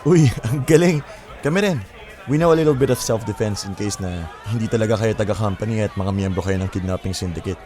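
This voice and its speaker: An actor playing a confident, smooth-talking young bachelor in a Taglish audio drama, playful and persuasive. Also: male voice, lowish voice, a little fast, close to an everyday voice. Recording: loud music and crowd bed behind. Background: music, crowd chatter.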